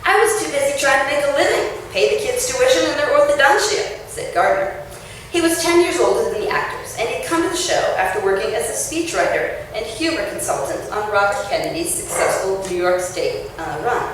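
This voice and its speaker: A woman author giving an expressive, emphatic public reading of a written essay at an event, with clear phrasing. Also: sits high, fairly quick. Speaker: author reading her essay at a book launch. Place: indoor launch-party event space. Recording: roomy echoing event space, distant mic, faint noise.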